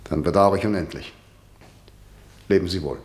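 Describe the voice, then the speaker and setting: An actor playing a stern, formal, middle-aged prosecutor and authoritarian father, measured and condescending, in a vintage radio play. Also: sits low, lightly acted. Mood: formal, pressing. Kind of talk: mid-century German radio drama acting. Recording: roomy 1960 radio drama studio.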